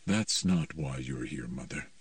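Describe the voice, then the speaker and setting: Man voicing a tormented teen boy, anguished, raw, slow, dry distant mic. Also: mid-range voice, lively intonation, darkish tone, no echo, voice pushed up. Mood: icy. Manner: raw, anguished, turning icy cold.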